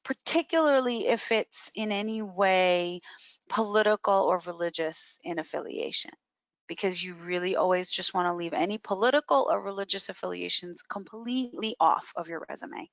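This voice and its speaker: Female career coach, emphatic explainer, mid-pitched, on muffled webinar audio. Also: gravelly, no echo. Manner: emphatic, repeats key words for stress. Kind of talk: explanatory webinar advice with question and answer.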